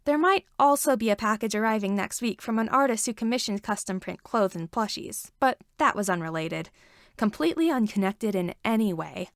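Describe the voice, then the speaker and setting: A woman reading fan fiction aloud as an expressive storyteller with wry asides. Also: mid-range voice, fairly quick, no room sound, clean studio sound. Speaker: podfic reader.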